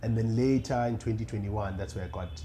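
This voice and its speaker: A young man with a South African accent, a radio producer chatting casually as a podcast guest, animated and quick to laugh, often restarting his sentences. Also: lowish voice, slight background noise. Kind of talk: casual interview chat.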